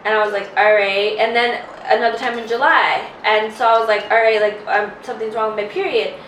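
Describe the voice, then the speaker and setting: A woman's voice: on the high side, steady tone, a little fast, a bit bright, slight background noise. A woman in her twenties, a podcast co-host, telling a personal story in casual chat with lots of "like" and candid detail. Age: 20s. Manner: candid rambling storytelling, heavy on "like". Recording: roomy, distant mic.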